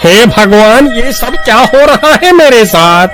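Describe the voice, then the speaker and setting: A man's voice: extremely high pitch, expressive, slow, heavily acted. A man playing a timid, pleading village barber, delivered melodramatically in a cartoon story. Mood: frightened. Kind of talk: Hindi cartoon story acting. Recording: music behind the voice.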